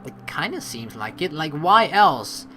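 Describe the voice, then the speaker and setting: A man hosting a let's play, giving casual, reflective commentary in a rambling, halting way and reading dialogue aloud. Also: on the high side, very animated, sharp tone. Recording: game music behind the voice.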